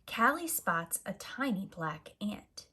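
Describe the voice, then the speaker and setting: A woman reading a picture book aloud to young children in an expressive, deliberate manner as a storyteller. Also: medium pitch, breathy edge. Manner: slow, expressive, simple deliberate phrasing. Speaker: storyteller, preschool teacher.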